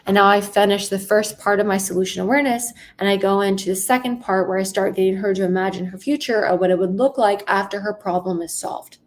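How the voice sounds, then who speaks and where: A woman sales coach, soft-spoken and empathetic, who teaches through role-play and keeps checking in with "right?". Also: mid-range voice, fast talker, few pauses.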